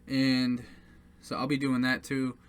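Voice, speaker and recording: A man's voice: mid-range voice, monotone, takes it slowly, clear, resonant tone. A man casually talking to his audience as a musician, hesitant and earnest, with many fillers and restarts. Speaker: independent musician presenting his songs. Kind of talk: casual talk to camera.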